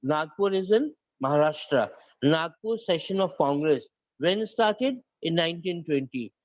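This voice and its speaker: A man's voice: fairly high pitch, lively intonation, unhurried, dry recording, muffled recording. A man with a north Indian accent lecturing a class, switching between English and Hindi and often restarting his phrases. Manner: repeats and restarts phrases, dictates points to students. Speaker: teacher.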